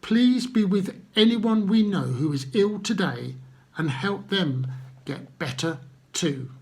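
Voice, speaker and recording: A man telling stories to children and addressing them gently, with simple, unhurried phrasing and guiding questions. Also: sits high, lively intonation, husky. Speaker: children's Bible storyteller.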